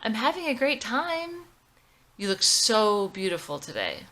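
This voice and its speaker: A woman's voice: on the high side, very animated, a little slow, fairly bright tone, voice pushed up. A woman voicing an outspoken, stubborn young woman with a blunt, exasperated manner in a drama script. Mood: gently reassuring.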